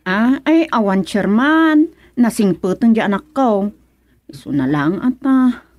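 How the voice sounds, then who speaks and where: A woman's voice: medium pitch, expressive, a little slow, a little mellow, no echo, close to an everyday voice. A woman playing a fretful village mother in a radio comedy drama. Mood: flustered, pleading.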